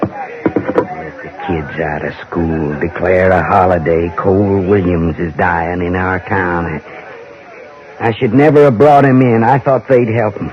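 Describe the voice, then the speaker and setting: A man playing a brooding, weary American ex-raider cowboy in a radio western, halting and rambling in a folksy drawl. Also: low voice, crowd noise. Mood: bitter, reluctant. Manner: halting, rambling, plain-spoken. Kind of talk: old-time radio western acting. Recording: dull vintage radio recording.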